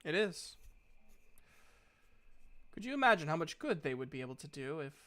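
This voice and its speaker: A man voicing a disillusioned, wistful woman in a tabletop fantasy game, speaking in a measured, rueful way. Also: on the high side, big pitch swings, fairly bright tone.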